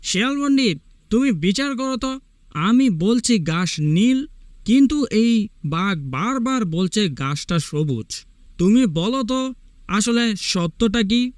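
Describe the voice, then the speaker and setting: A man voicing a stubborn, argumentative donkey in a cartoon fable, loud and insistent. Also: high voice, animated, slow pace, no room sound, studio quality, pitched above the performer's own voice. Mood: indignant pleading. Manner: shouting, insistent.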